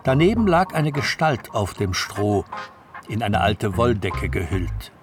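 A man's voice: on the low side, very animated, a little slow, over music. A man reading scripted narration for a radio drama.

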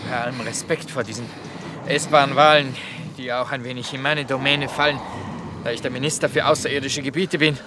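A pompous government minister played by a man in a vintage radio drama, with clear noise around the voice. He is formal, medium-pitched and forever affronted. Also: steady tone, brisk, natural voice. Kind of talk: 1950s German radio play acting. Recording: noisy vintage radio recording.

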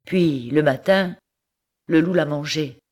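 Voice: medium pitch, slow, a little mellow. A reader voicing a worried older farmer who exclaims and warns in a storybook tale. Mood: warning. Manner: exclaiming, anxious. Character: older male farmer, fretful and protective.

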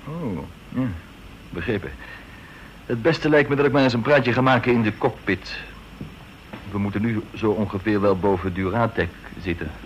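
An actor playing an inquisitive, needling army major in a radio drama, probing and insinuating, on a dull, noisy old recording. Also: male voice, on the low side, normal speed, long pauses, lightly acted. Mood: curt.

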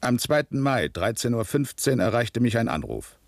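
A man's voice: mid-range voice, sing-song, moderate pace, creaky, no echo. An actor playing a dutiful male security policeman, giving precise, bureaucratic testimony in a radio drama. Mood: precise, official. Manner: precise, bureaucratic, matter-of-fact.

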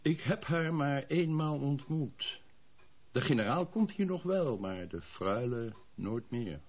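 An actor playing a genial, obliging small-town gentleman who gives discreet advice in a radio play. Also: medium pitch, slow. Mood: advising, hospitable. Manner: animated, advising. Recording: tinny, narrow old radio play recording.

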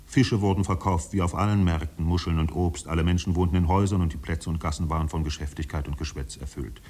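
An actor playing a solemn literary storyteller, narrating a 1950s German radio drama in a measured, dramatic manner. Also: male voice, steady tone, warm tone. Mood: measured, wistful. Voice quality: deep, husky.

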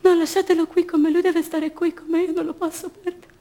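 A woman's voice: extremely high pitch, level tone, a little fast, mellow. A woman acting an anguished young imprisoned mother in a radio theatre monologue, theatrical and repetitive, by turns defiant, tender and fearful. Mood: anguished, pleading. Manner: theatrical, repetitive, emotionally raw delivery.

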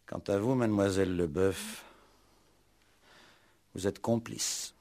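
An actor playing a shrewd, ironic police commissioner in a 1960s French radio play, speaking with deliberate, mock-courteous drama. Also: male voice, lowish voice, dark tone. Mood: stern, revealing. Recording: old 1960s radio recording.

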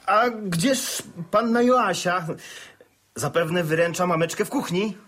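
An actor playing a genial, worldly gentleman landowner, a reformed rake, with a wry and courteous manner in a radio drama. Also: sits high, slow, full character voice.

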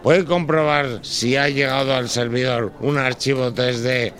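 Man playing a formal, busy boss, mid-pitched. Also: steady tone, measured pace, fairly bright tone, close to an everyday voice. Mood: brisk, formal. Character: Don Severino, busy, formal male boss. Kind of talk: Spanish audio fiction acting.

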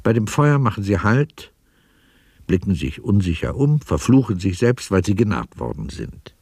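A man's voice: lowish voice, sing-song, normal speed, darkish tone, husky, no echo, studio quality. A man reading scripted scene narration for a radio drama as its narrator.

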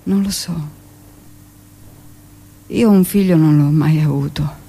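An actress playing a brusque, weary middle-aged hospital midwife in a colloquial, scornful radio monologue. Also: female voice, sits low, takes it slowly, gravelly, warm tone, husky, full character voice. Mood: troubled, subdued. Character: brusque, weary 45-year-old hospital midwife, dismissive and self-justifying.

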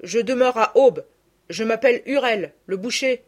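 A woman's voice: fairly high pitch, normal speed, pitched above the performer's own voice, lightly acted. A woman doing a kindly rustic country butcher. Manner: homely, folksy, slightly rustic speech. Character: good-natured country butcher, grown man, gruff and kind. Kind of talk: audiobook character voice.

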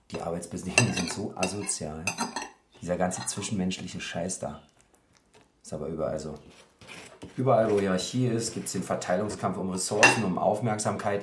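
A man's voice: deep voice, fairly quick, very noisy, close to an everyday voice. A man playing a disgruntled, needy politician, grumbling wearily in a naturalistic radio drama. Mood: grumbling, fed up. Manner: grumbling, weary. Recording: roomy kitchen, loud clattering dishes.

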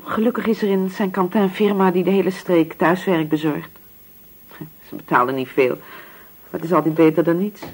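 A poor working-class widow in a radio drama. She sounds husky and anxious, grieving and submissive, often hesitating over her words. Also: fairly low pitch, steady tone, normal speed, darkish tone. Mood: weary, resigned.

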